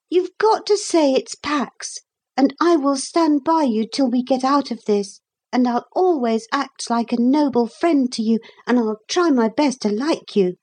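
A woman voicing an earnest, stubborn and excitable young girl in a storybook, with emphatic, childlike, proper phrasing. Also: high-pitched, voice pushed up, heavily acted.

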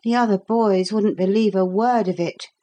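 A woman's voice: medium pitch, steady tone, moderate pace, dark tone, voice pushed up, close to an everyday voice. A woman voicing a worried, impatient young boy adventurer in a children's story. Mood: gloomy.

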